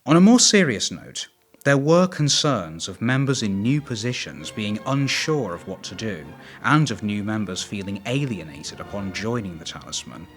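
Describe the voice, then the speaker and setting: A man's voice: lowish voice, big pitch swings, moderate pace, few pauses, soft background music. A man narrating a documentary from a script.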